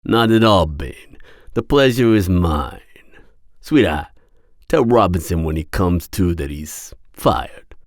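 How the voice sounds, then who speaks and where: A man's voice: deep voice, expressive, slow pace, dry recording, studio quality, heavily acted. A man playing an imperious crime boss in an audio roleplay drama, smug, cold and businesslike. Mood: smug, disdainful.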